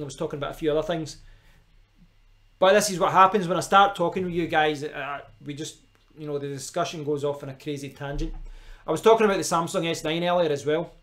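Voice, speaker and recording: A man's voice: fairly high pitch, a bit bright. A young man with a strong Glaswegian Scottish accent, rambling casually to viewers on a live stream from his kitchen, with frequent restarts and asides. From Scotland. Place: kitchen at home.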